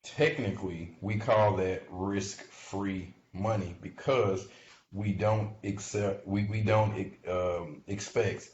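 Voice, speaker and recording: A man with a deep voice gives informal investment commentary, explaining things in a halting, conversational manner. He regularly checks in with short tag questions. The recording is dull and echoey, as if in a bare room. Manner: halting, conversational, often checks in with "right?" and "okay?". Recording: muffled, noisy audio in echoey room.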